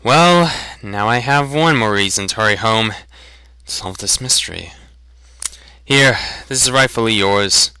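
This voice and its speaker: An earnest, courteous farm lad in a fantasy audiobook, acted by a male reader. His voice is bright and clear, youthful and eager, and he takes his time over his words. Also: on the low side, expressive, unhurried, no echo, full character voice, rough recording. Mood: resolute. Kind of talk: dramatic fantasy audiobook reading.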